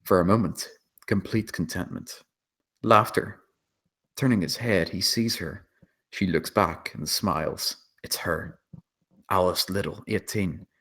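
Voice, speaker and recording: Casting: male narrator, low-pitched, delivering scripted scene descriptions with a dramatic storytelling style. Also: fairly bright tone. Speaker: narrator reading stage directions.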